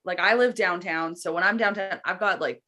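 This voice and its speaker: A woman's voice: lowish voice, fairly quick, bright, forceful tone. A woman from Canada co-hosting a podcast, chatting in an informal, slangy, conversational way. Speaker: podcast co-host. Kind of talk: casual podcast chat.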